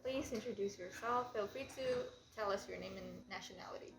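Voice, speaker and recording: A woman's voice: mid-range voice, a little fast, gravelly. Female interviewer, casual, roomy echo with music behind her mid-range voice.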